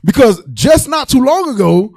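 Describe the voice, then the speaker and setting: A high-pitched male voice with an American accent and a lot of creak. He preaches in an emphatic, colloquial call-and-response style, as one of a panel. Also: big pitch swings, moderate pace, darkish tone. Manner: emphatic, colloquial, interjecting agreement. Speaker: panel co-host, religious teacher. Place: indoor curtained studio set, panel table. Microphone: handheld microphone.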